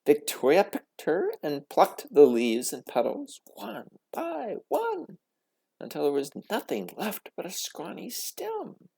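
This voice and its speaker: A male storyteller reads for children with theatrical flair. His voice is mellow and creaky, with slow, dramatic phrasing and very wide intonation. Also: medium pitch. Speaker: story reader. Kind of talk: expressive children's storybook reading.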